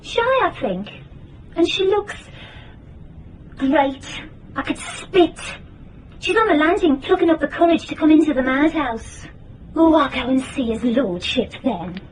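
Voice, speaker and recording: An actress playing a fed-up, flighty young British office secretary in radio drama, breezy and petulant. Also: female voice, high voice, lively intonation, measured pace, slight background noise, low-quality recording. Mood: fed up, envious. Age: young adult.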